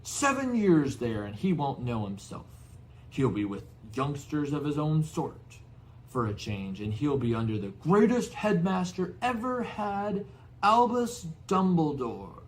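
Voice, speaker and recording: A gruff but big-hearted giant, acted out by a male narrator, growling and fiercely loyal. He talks in rough rustic dialect, as in a storybook reading. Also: fairly high pitch, sing-song, a little slow, slight background noise, low-quality recording. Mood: indignant. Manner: rustic, colloquial, dropped words and dialect grammar.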